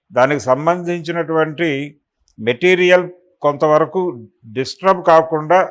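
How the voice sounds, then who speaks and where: Man, slightly high-pitched voice, political commentator, detailed explanatory delivery, news analysis. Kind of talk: extended spoken news analysis.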